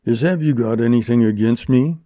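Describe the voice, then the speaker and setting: A man playing a calm, menacing bandit in a storybook, with plain frontier talk and little emotion. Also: brisk, dry recording, dull audio, lightly acted. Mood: cool challenge. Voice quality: booming bass. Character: calm, menacing male bandit, lean and unemotional.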